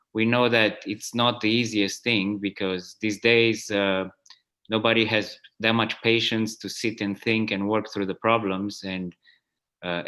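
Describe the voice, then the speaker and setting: A man's voice: on the low side, a bit bright. A man in his forties, originally from Romania, giving a plenary math talk over a video call, hesitant with many fillers and restarts. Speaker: guest speaker, math professor. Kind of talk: online conference talk.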